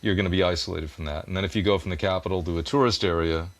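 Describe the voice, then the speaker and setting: A man's voice: sits low, fairly even intonation, very fast, dry recording. A man guest in a conversational interview, earnestly explaining things at length and poking fun at himself, a native English speaker. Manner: earnest, explanatory, self-deprecating. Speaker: music producer and interview guest.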